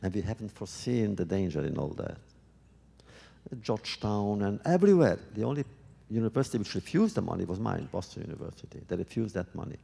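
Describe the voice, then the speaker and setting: A man answering audience questions at a public talk, speaking thoughtfully and haltingly with many restarts. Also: lowish voice, very animated, gravelly, mellow, husky, in a large hall.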